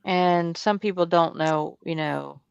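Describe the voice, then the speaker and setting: A woman's voice: fairly low pitch, fairly even intonation, slow pace, vocal fry, darkish tone, clean tone, dry recording. A woman in her fifties chatting casually, easygoing and laughing along. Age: 50s. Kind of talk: casual chat. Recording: dry, muffled lo-fi audio.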